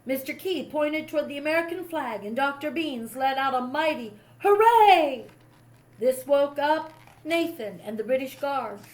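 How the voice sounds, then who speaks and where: A woman reading a children's history story aloud in an expressive storytelling style. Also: high voice, unhurried, low-quality recording. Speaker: storybook reader.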